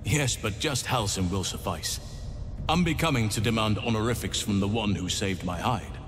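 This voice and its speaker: A man playing a grave, wry elf druid warrior in a video game, measured and formal. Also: lowish voice, background noise, lightly acted. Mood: wry, grateful. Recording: reverberant stone room, music behind.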